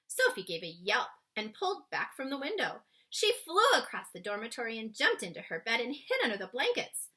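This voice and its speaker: A woman reading a children's story aloud in an expressive, suspenseful way, stretching key words for emphasis and chatting to young listeners. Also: on the high side, fairly quick, hardly any pauses. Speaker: children's book read-aloud reader.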